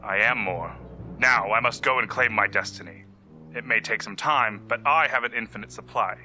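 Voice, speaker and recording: A man's voice: on the low side, moderate pace, bright, forceful tone. A man playing a scornful, immortal vampire villain in an audio drama, menacing, condescending and theatrical.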